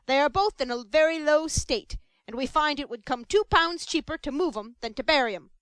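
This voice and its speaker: A woman's voice: high voice, fairly quick, a bit bright, dry recording, pitched above the performer's own voice, low-quality recording. A woman voicing Mister Bumble, pompous beadle. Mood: callous. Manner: stern, blustering, self-satisfied. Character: pompous self-important middle-aged male parish beadle.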